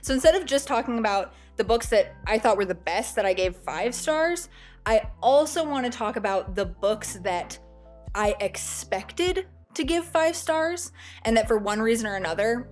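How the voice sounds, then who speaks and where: A woman with a slightly stuffy, cold-recovering voice, talking casually as a book review vlogger in a chatty, self-deprecating way. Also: mid-range voice.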